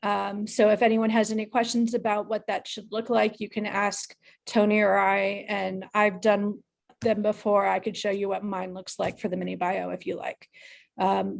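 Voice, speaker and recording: Even-toned woman with a creaky voice. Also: medium pitch, moderate pace. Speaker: production coordinator making announcements. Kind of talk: casual meeting announcements. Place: online video call.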